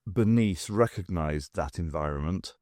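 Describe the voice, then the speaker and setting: A man's voice: sits low, unhurried, a little mellow, no room sound, studio quality. A man reading a science fiction story aloud as scripted audiobook narration. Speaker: audiobook reader.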